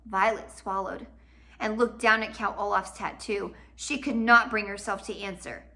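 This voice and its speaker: A woman narrating a children's novel aloud in an expressive, dramatic and emphatic storybook style. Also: medium pitch, moderate pace.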